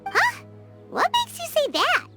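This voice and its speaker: An actress voicing a tiny excitable floating fairy companion in a video game dub, squeaky and exclaiming. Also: female voice, slow, loud music behind the voice, full character voice. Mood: indignant. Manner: squeaky, exclaiming, refers to herself in third person.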